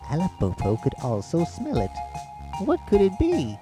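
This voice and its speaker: A man's voice: a little high, wildly swooping pitch, normal speed. A man with a British accent, an author reading his own story as scripted narration in a children's-tale style. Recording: music behind the voice.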